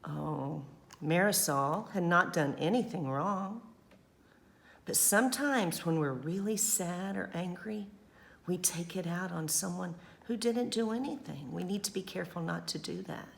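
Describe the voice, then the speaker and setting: A woman teacher reading a storybook aloud to children, expressive, with simple explanatory asides. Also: lowish voice, creaky.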